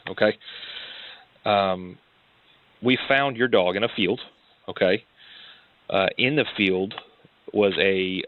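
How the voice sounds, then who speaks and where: A low-pitched American man, a police detective, explains himself apologetically and haltingly while asking questions. He comes through on a dull, lo-fi phone recording.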